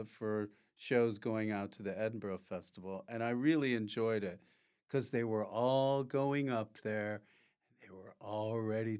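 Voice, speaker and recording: A man's voice: on the low side, a little mellow, smooth. A man hosting a podcast in casual comic chat, rambling and joking in a mock-earnest way. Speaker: podcast host.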